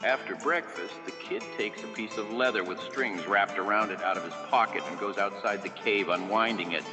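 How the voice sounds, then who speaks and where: A man's voice: lowish voice, normal speed. A man narrating a first-person tale from a script in a wry, folksy, theatrical manner. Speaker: story narrator. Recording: long reverb, music behind the voice. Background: music.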